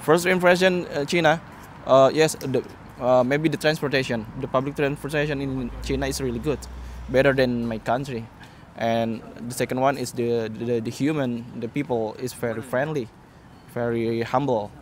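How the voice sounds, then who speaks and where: A man's voice: mid-range voice, steady tone, moderate pace. Indonesian man speaking over street noise. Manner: hesitant, repeats words. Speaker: interviewee. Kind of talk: casual street interview in halting English. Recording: clear outdoor traffic noise.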